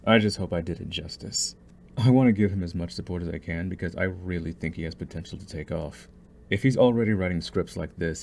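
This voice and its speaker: A man's voice: sits low, vocal fry, a little mellow, a little hiss or hum. A man who makes audio roleplays, talking to his listeners in an informal, earnest outro. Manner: informal, earnest, says y'all. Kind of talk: casual outro chat to listeners.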